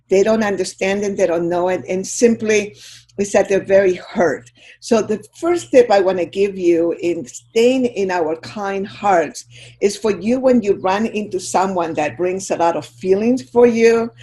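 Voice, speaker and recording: A woman's voice: lowish voice, dark tone. An older woman from Chile, a guest speaker giving a heartfelt, reflective talk on a video call.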